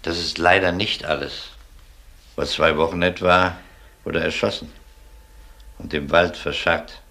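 A man's voice: deep voice, fairly even intonation, a little slow, gravelly, sharp tone, heavily acted. A man playing a dry, sardonic police detective in a crime radio play, ironic and authoritative. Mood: dry, probing.

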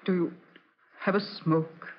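Husky, hard-edged nurse. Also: female voice, lowish voice, steady tone, slow. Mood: cool, demanding. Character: greedy, hard-edged female private nurse and accomplice. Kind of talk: 1940s radio acting. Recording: dull, muffled 1940s radio recording.

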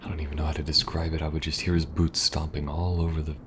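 Man acting weary young agent, extremely low voice, soft-spoken, halting, dry and kind, ASMR roleplay, some background noise. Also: level tone. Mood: weary, reminiscing. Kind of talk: intimate ASMR audio roleplay.

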